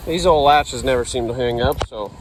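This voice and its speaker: A laid-back man of middle pitch chats in a folksy, dry-humored way as he works on his ranch. He holds the camera himself outdoors. A tractor engine rumbles steadily behind him. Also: moderate pace, low-quality recording. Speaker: rancher vlogger. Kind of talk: casual narration while working. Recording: outdoor recording with loud engine noise.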